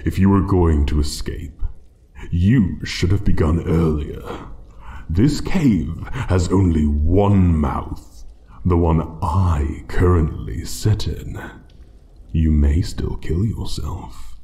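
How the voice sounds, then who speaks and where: Guy doing an old dragon for fantasy asmr, really deep and slow, haughty, taunting and menacing. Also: dark tone, a little hiss or hum. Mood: predatory taunting. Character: ancient menacing male dragon guarding its hoard. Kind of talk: fantasy ASMR roleplay monologue.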